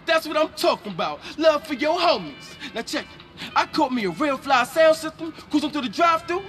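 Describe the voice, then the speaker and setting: Hyped american wannabe rapper in a game, real high bright voice, boastful slang. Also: male voice, animated, fairly quick, soft background music. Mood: hyped, showing off. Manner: hyped, slangy, breaks into clumsy rhymes. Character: boastful, deluded young male wannabe gangsta rapper. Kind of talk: video game cutscene acting.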